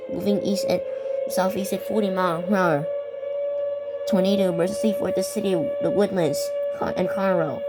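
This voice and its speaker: Halting young woman over loud music. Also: mid-range voice. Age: teenager or young adult. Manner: halting, stumbling over and repeating words. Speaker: amateur video creator narrating a fictional emergency alert scenario. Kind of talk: improvised narration mixed with read-out weather warnings.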